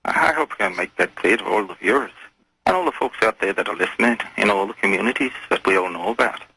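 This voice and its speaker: Male caller on radio, husky and forceful, folksy but quietly menacing, casual talk. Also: fairly low pitch, quick pace, dry recording, rough recording. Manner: folksy, calmly menacing, addresses others as lad and lassie. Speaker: phone-in caller. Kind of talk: casual conversational radio call.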